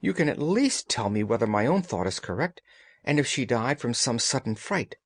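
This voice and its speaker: A man voicing an anxious, pleading young gentlewoman in an audiobook, polite and earnest. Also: medium pitch, sing-song, normal speed, no room sound. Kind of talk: audiobook character dialogue.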